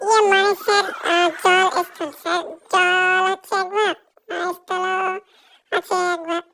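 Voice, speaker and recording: A performer voicing cheeky, boastful cartoon schoolchildren who whine and stall with exaggerated, drawn-out words. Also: extremely high pitch, level tone, gravelly, sharp tone, a little hiss or hum, heavily acted. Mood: hesitant. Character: cheeky schoolchildren, lazy, boastful, whining to their teacher. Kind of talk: comedy cartoon dub.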